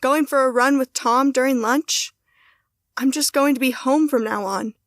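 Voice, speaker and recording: Girl acting as young mom in an audio drama, high voice, earnest, affectionate, close dry sound. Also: normal speed, no room sound, natural voice. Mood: downcast. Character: young wife and mother, affectionate, downhearted but steady.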